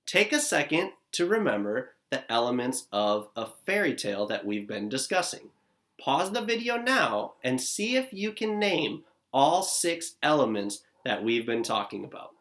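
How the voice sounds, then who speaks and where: A man in his thirties teaches young kids from home, his voice rising and falling a lot as he explains things with an encouraging, upbeat manner. He sits facing a camera at desk distance in a living room, sounding mid-pitched and friendly in a plain indoor space. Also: sing-song, moderate pace, a bit bright. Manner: upbeat, encouraging, speaking straight to children. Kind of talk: recorded lesson for young children. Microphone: camera at desk distance, seated facing it.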